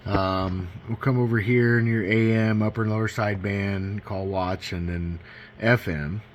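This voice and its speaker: A man's voice: on the low side, fairly even intonation, measured pace, dull audio, a little hiss or hum. A man giving a casual, unscripted product walkthrough in a folksy, self-deprecating, rambling way. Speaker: radio hobbyist demonstrating a used CB radio.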